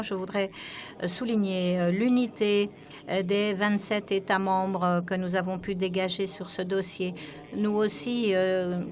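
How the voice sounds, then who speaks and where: A woman giving simultaneous interpretation of speeches at a parliamentary conference, often pausing with hesitant fillers. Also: mid-range voice. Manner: frequent hesitant fillers while keeping up with speakers.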